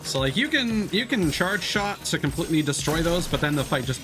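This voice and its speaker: A man's voice: a little high, sing-song, brisk. A man streaming a video game, giving casual, off-the-cuff commentary. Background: synth game music.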